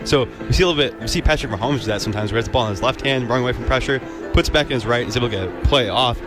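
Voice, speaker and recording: A man's voice: mid-range voice, very fast, over music. A man giving informal, plain-spoken color analysis during a live radio football broadcast. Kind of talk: live sports analysis, conversational.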